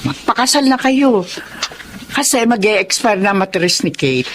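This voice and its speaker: A woman's voice: mid-range voice, very animated, measured pace, fairly bright tone. Female voice, Filipino accent, blunt loving mother, emphatic, radio drama, faint background noise. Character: blunt but loving mother of grown daughter.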